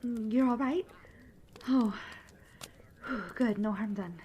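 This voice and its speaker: A woman's voice: a little high, slow pace, mellow, slight background noise. Peppy young travelling bard played by a woman, background ambience. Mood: flustered, apologetic. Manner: chatty, bubbly, polite. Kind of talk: audio roleplay acting, addressed to the listener.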